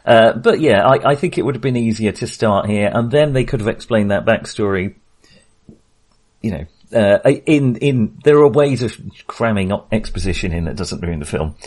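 A man's voice: lowish voice, lively intonation, moderate pace, a little mellow. A man casually discussing a film as a podcast co-host, in a hesitant, rambling way.

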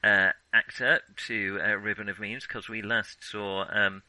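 A man's voice: lowish voice, fairly even intonation, unhurried, bright, forceful tone, dry recording, poor audio. A man co-hosting a film podcast, chatting casually with wry, enthusiastic humour. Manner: enthusiastic, chuckling, wryly joking.

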